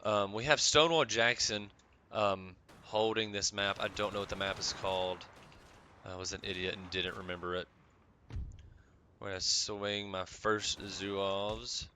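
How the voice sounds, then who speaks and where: Low-voiced man, slightly raspy. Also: fairly even intonation, unhurried, pushed and bright, slight background noise. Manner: rambling, many ums and restarts. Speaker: gaming channel host. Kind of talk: casual gameplay commentary.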